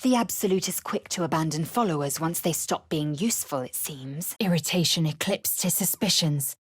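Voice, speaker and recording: A woman playing a proud, stern githyanki warrior woman in a video game, with a husky rasp and a clipped, imperious, formal delivery. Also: low voice, normal speed, no echo, studio quality. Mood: grave recounting. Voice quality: husky, rasping. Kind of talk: dramatic game dialogue and narration.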